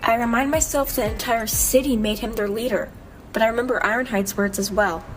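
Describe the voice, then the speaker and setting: Earnest robot heroine female voice actor, reflective comic-dub narrator, lightly high register, music behind. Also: brisk, natural voice, low-quality recording. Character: earnest, determined young robot heroine narrating her quest. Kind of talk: amateur comic dub, scripted narration and dialogue. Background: clear music bed.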